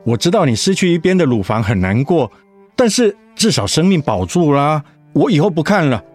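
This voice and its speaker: A devoted, teasing husband in middle age for a radio play. His fairly high voice swings widely as he coaxes in a Taiwanese accent, stammering when cornered. Music plays along with him. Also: big pitch swings, brisk. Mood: soothing. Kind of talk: radio drama dialogue.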